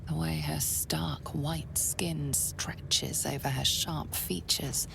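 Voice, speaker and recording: A woman voicing a grave, mysterious fantasy game storyteller with a measured, breathy, gravelly delivery. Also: low voice, steady tone, in a whisper, exaggerated voice, rough recording. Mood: hushed, ominous. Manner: slow, measured, portentous. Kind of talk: scripted second-person fantasy game narration.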